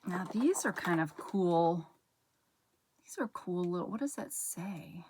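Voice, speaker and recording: A woman's voice: on the low side, animated, slow pace, darkish tone, slightly rough. A woman hosting her own channel, chatting casually as she unpacks and sorts through a haul, rambling and breaking off mid-thought, with a soothing voice. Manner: rambling, chatty, self-interrupting while sorting items. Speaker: channel host. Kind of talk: casual haul vlog chat.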